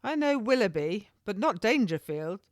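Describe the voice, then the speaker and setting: A woman reads as a defiant accused lady in a historical audiobook. She is quick-witted and indignant, her formal old-world speech lifted in pitch, recorded dry and close. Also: big pitch swings, no room sound, close to an everyday voice.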